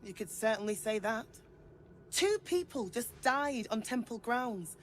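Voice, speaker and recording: A woman's voice: fairly high pitch, animated, a little slow, a little hiss or hum. A woman playing an earnest, troubled temple priestess in a game, speaking with insistence. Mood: bitter concern. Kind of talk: dramatic game voice acting.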